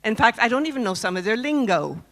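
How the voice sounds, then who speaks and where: A woman's voice: fairly high pitch, very animated, quick pace, a bit bright. A woman in her fifties from Canada, telling a personal story at a conference and often asking the audience questions. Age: 50s. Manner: chatty, asks the audience questions. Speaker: conference speaker. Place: conference stage.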